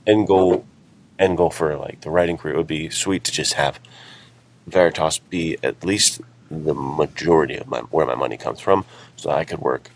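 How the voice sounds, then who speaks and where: A man's voice: rumbling low, normal speed, a little hiss or hum. A man chatting casually and earnestly as a podcast co-host, in an informal way. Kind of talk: casual chat.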